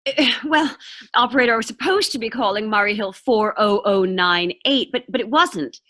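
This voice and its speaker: A woman's voice: on the high side, normal speed, pushed and bright. A woman playing an anxious, demanding, sickly housewife in a melodramatic 1940s-style radio thriller. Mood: agitated, insistent. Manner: fretful, insistent, melodramatic.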